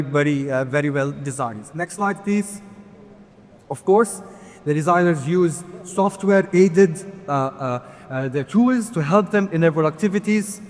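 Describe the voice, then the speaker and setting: Man presenting in a reverberant hall. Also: on the high side. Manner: earnest, asks for slides, light humor. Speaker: conference speaker. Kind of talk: conference presentation. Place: large conference hall.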